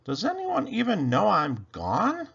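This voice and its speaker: A man's voice: sits high, huge pitch swings, measured pace, vocal fry, fairly bright tone, breathy edge, lo-fi sound, voice pushed up, exaggerated voice. A man voicing a small, anxious but plucky male Christmas elf in a storybook, with a fretful, exclaiming delivery. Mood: wistful worry.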